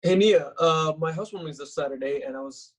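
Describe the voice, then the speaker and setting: A man's voice: a little high, fast talker, lightly acted, rough recording. A man playing a cocky, oblivious young actor and ladies' man in a table read of a comedy script, with a breezy, boastful manner.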